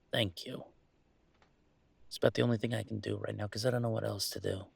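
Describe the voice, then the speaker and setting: Gravelly, wry young werewolf played by a man. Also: lowish voice, steady tone, no room sound, full character voice. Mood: subdued, rueful. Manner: halting, sardonic, rambling confiding. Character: troubled young male werewolf, wry, self-deprecating, hurting. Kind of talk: intimate audio roleplay monologue.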